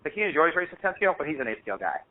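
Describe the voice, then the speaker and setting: A man's voice: fairly high pitch, sing-song, very fast. A man telling stories casually on a phone call in an informal, slangy, rambling way, as host of a hobby show. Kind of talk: casual phone chat, anecdotal storytelling. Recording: dull lo-fi phone-call audio.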